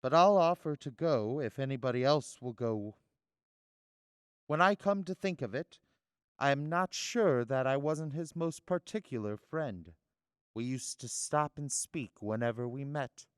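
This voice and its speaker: A man plays a callous, droll city merchant in a radio drama. His voice is clear and smooth, recorded close and dry, and he delivers everything with flippant indifference. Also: mid-range voice, a little slow, no room sound, close to an everyday voice.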